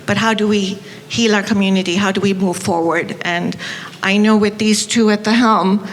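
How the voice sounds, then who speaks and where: A woman's voice: mid-range voice, fairly even intonation, normal speed. Older woman moderator from Tanzania, hesitant and sincere, long hall reverb. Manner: warm-hearted, hesitant with fillers. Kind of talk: unscripted opening remarks. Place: auditorium. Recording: reverberant auditorium, faint noise.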